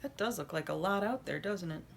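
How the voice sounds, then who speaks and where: A woman reading a children's story aloud as an expressive narrator. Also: lowish voice, a little fast, gravelly. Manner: animated, addresses young listeners with questions.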